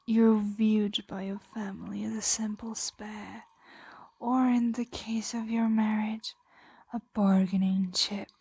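A woman voicing a possessive, condescending ancient dragon in a breathy, coaxing ASMR roleplay whisper. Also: medium pitch, flat delivery, takes it slowly, mellow, dry recording, exaggerated voice. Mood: coaxing, smug.

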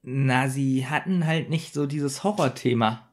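Man chatting casually, mid-pitched voice. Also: measured pace, darkish tone. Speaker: podcast co-host. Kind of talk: casual podcast discussion.

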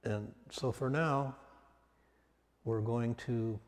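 A man leading a guided meditation as a teacher, speaking calmly and off the cuff in short, measured phrases with long pauses. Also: medium pitch. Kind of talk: guided meditation, spoken slowly and unscripted. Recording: long reverberant hall sound.

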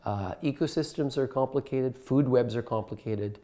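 A man in his sixties, a scientist giving measured, explanatory interview answers. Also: mid-range voice, warm tone. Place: bright room by a window, indoors.

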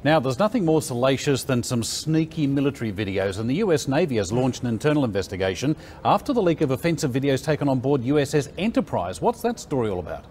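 A man's voice: medium pitch, very animated, quick pace, a little mellow, slight background noise. A middle-aged Australian man presenting television news as a studio anchor.

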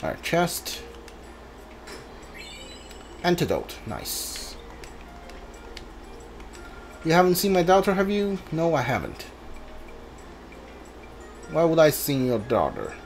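A man streams a game with casual, mumbly commentary. His voice sits on the high side for a man. Game music plays underneath him. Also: huge pitch swings, takes it slowly, long pauses.

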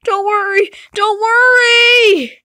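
A frightened mother races to save her son, as a woman voices her. Her high, bright voice sounds panicked and pleading, in slow, drawn-out lines, and the sound is close and dry. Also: fairly even intonation, dry recording, exaggerated voice. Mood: urgent.

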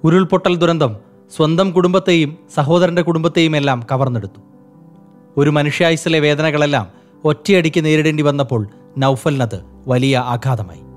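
Solemn male news voice-over, slightly high. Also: soft background music. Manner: solemn, measured. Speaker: voice-over reporter. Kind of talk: scripted news narration.

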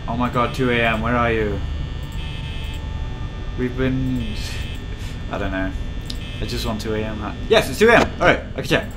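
A young man with a sore throat, slightly hoarse and nasal, chatting casually through a game, restless with sudden bursts of excitement. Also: mid-range voice, normal speed, long pauses. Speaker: gaming video creator. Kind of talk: casual gameplay commentary. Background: game music and mains hum.

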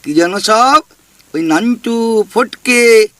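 A man voices a mischievous male ghost in a radio play, teasing and bossy, his voice pitched high and bright. He drags his words out slowly with mocking relish. The sound is close and dry. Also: no echo, exaggerated voice. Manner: cheeky, mocking, drawn-out teasing. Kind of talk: audio drama acting.

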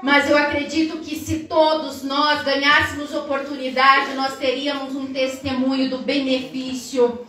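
A young Brazilian woman preaching a Pentecostal sermon in a sing-song, fervent cadence that climbs to shouts, recorded from far across a large tiled church hall. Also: sits high, flat delivery, normal speed, a little hiss or hum. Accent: Brazilian Portuguese. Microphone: far camera across the hall.

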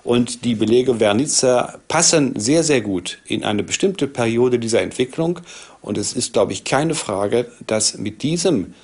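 Husky man explaining as an expert. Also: mid-range voice, expressive, a little slow, darkish tone. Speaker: expert interviewee. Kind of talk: explanatory interview talk.

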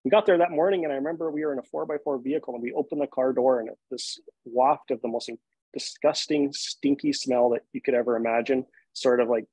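A man in his forties from Hawaii tells a personal story in a calm, steady way, with the odd "um". His voice is a bit husky. The video call sound is dry and close. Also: mid-range voice, fast, dry recording, low-quality recording. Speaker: guest, shark activist.

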